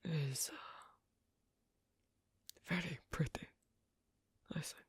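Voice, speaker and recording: A performer playing a shy, bookish, isolated swan king in intimate ASMR roleplay, speaking halting English with a foreign accent in a creaky half-whisper. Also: fairly bright tone, no echo. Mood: shy wonder. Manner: halting, hesitant, clipped non-native phrasing.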